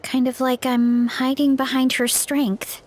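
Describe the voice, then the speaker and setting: A bubbly young heroine. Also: female voice, high-pitched, flat delivery, unhurried, a little mellow. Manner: bubbly, shy, self-doubting. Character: earnest young woman who idolizes a masked hero. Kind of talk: video game voice acting.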